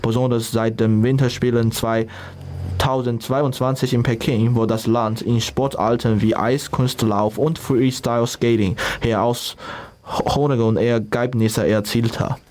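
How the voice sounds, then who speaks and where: A man's voice: medium pitch, fairly even intonation, slow, darkish tone, slight background noise. Young man from China reading facts. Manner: reads prepared facts with small slips. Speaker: student guest.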